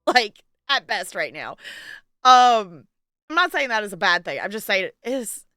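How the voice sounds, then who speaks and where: A woman's voice: medium pitch, sing-song, a little slow, pushed and bright, clear, resonant tone, dry recording, studio quality. A woman chatting casually on a livestream in a playful, giggly, rambling way. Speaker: live game streamer chatting with viewers.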